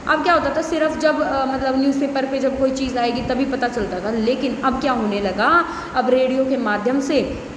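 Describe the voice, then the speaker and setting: A woman's voice: high-pitched, normal speed. A woman giving an educational lecture, reading out notes and then explaining them with frequent restatements and check-in tags. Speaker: teacher. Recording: echoey reverberant room with background hiss.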